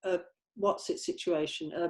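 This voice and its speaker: A woman talks casually about a script she has written. Her delivery is halting and hesitant, as she searches for the right words while explaining her choices. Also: fairly low pitch, fairly even intonation, darkish tone.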